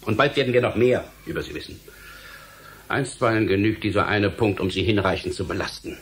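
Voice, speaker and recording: An actor playing a stern, menacing government official in a German radio play, speaking in a formal, measured, authoritative way. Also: male voice, lowish voice, fairly bright tone. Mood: stern, accusing. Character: stern, menacing male government official guarding forbidden science. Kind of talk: German radio drama acting.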